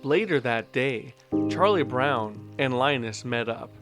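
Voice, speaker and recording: A storybook narrator with a mid-range voice reads a scripted children's tale. Music plays clearly underneath the read-along. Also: medium pitch, lively intonation, takes it slowly.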